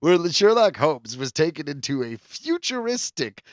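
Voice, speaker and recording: A man's voice: a little high, sing-song, normal speed, dry recording, studio quality. A man co-hosting a podcast, commenting in casual, conversational chat. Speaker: podcast co-host.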